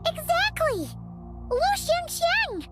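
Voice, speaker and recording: A woman voicing a tiny, excitable, inquisitive childlike fairy companion in a video game. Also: very high voice, slow pace, soft background music, exaggerated voice. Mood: excited. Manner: excitable, childlike, refers to self in third person. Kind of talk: animated game dialogue.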